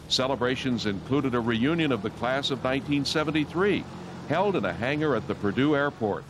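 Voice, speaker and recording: A man reading scripted newsreel narration as the narrator. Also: medium pitch. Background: music.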